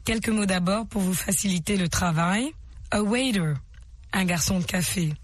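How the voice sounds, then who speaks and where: Female lesson narrator, measured and instructive, husky tone. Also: mid-range voice, no echo. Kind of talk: scripted language-lesson narration.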